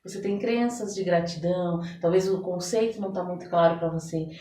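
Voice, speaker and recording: A woman's voice: lowish voice, fairly quick, mellow, soft background music. A Brazilian woman speaking as a therapist guest in a podcast interview, explaining conversationally with everyday examples. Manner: explanatory, illustrates with everyday examples and imagined quotes. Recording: roomy echo, distant mic, background noise.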